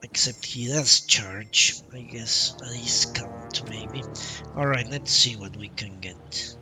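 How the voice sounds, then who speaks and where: A man's voice: mid-range voice, slow. A man streaming a game live, giving blunt, casual commentary with some swearing. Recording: faint game music behind voice. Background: game music.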